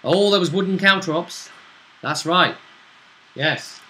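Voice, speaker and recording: A man's voice: sits high, slow pace, bright, forceful tone, poor audio. A man chatting through a recap of a tabletop role-playing session as game master, in a bantering, conversational storytelling way. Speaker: game master and show host.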